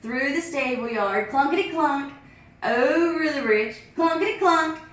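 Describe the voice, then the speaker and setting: A woman's voice: sits high, a little slow. Woman reading a story in an echoey room. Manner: lively, sing-song sound effects. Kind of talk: reading a picture book aloud. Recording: echoey room, distant mic.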